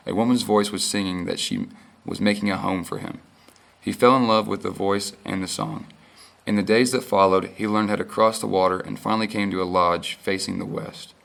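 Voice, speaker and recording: A young man student reading a creation myth aloud for a class, steady with occasional stumbles. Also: fairly low pitch, vocal fry. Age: young adult. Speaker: student reader.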